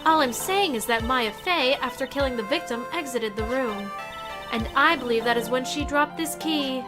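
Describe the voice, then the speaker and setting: A woman voicing an arrogant young female prosecutor who speaks with imperious scorn, over loud game music. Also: high voice, moderate pace, close to an everyday voice. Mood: assertive. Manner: imperious, insulting, repeats "fool". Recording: game music playing loudly under voice.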